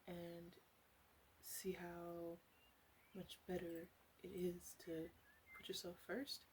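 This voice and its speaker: A woman playing a flirty, blunt witch in an ASMR roleplay, speaking softly and hesitantly and often dropping into whispers. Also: lowish voice, slight background noise, rough recording. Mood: gentle, encouraging.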